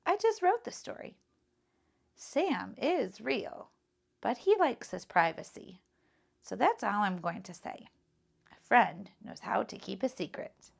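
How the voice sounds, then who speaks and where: A woman's voice: medium pitch, very animated, slow, vocal fry, slightly rough, full character voice. A woman voicing a shy, earnest young boy in a children's storybook, with simple, sincere phrasing. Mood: shy, firm. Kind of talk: children's storybook read-aloud.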